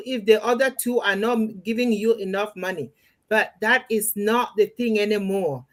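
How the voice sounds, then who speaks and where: A middle-aged woman from Cameroon hosting a live online panel, chatting to viewers and handing over to guests. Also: mid-range voice. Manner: chatty, warm asides to viewers. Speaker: host of a live panel show.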